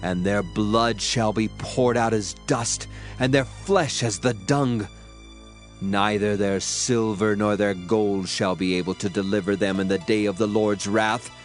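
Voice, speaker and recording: A man's voice: on the low side, steady tone, unhurried. A man giving a solemn, dramatized audio Bible reading with declamatory emphasis. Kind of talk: dramatized scripture reading in archaic English. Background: background music.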